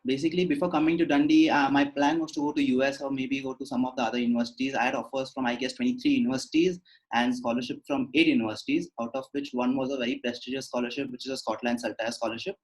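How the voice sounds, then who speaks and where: Fast-talking young Indian man. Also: medium pitch, fairly even intonation, low-quality recording. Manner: polite, says "sir", fillers like "like". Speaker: student interviewee. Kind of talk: casual interview answers.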